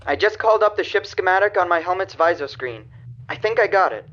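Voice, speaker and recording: A man's voice: high voice, expressive, fast, a bit bright, lo-fi sound. An actor playing an earnest young male cadet engineer in a space radio drama, reasoning things out in a youthful voice. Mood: thoughtful. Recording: music under dialogue.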